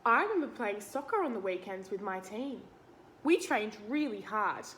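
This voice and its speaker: A woman's voice: medium pitch, sing-song, moderate pace, fairly bright tone, a little hiss or hum. An Australian woman reading a picture book aloud to young children, expressively, talking to them directly with simple explanations and questions.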